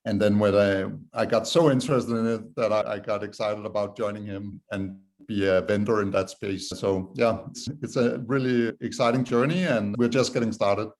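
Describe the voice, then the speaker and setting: Low-pitched Danish man in conversation. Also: steady tone, normal speed, hardly any pauses, a little mellow. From Denmark. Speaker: podcast guest, startup co-founder. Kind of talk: conversational interview answers.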